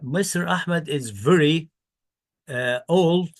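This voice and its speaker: A man, an Arabic speaker, teaching English by reading exam questions deliberately, repeating words and explaining answers. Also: fairly high pitch.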